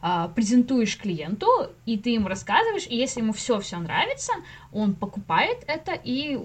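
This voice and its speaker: A woman's voice: fairly high pitch, very animated, normal speed, breathy edge. A young woman, a podcast guest, explaining things in a casual, informal way and often thinking aloud with asides.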